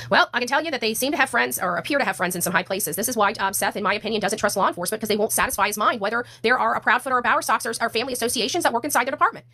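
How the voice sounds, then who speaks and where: Very fast-talking, bright-voiced American woman. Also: a little high. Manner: indignant, blunt, slangy. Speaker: livestream host. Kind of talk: casual livestream rant, replying to chat.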